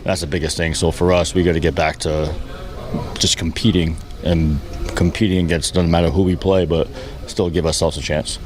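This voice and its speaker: A man who manages a baseball team answers reporters after a game in a halting, plain-spoken way. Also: sits low, little pitch movement, darkish tone. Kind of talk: post-game press conference answers. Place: post-game press session with reporters. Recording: faint background noise at press session.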